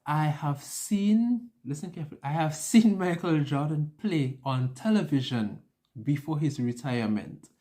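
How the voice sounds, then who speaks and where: A man's voice: a little high, expressive, unhurried, dark tone. A young man chatting casually to an audience, telling personal stories and acting out what was said. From an island. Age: young adult. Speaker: vlogger. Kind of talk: casual personal storytelling to camera.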